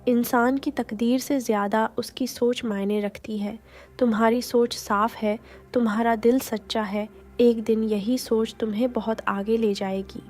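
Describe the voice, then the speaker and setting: A woman's voice: a little high, steady tone, unhurried, darkish tone, natural voice. A woman voicing a hopeful village sweetheart. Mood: encouraging. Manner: earnest and encouraging. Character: devoted young village girl, gentle and hopeful. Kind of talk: cartoon story voice acting.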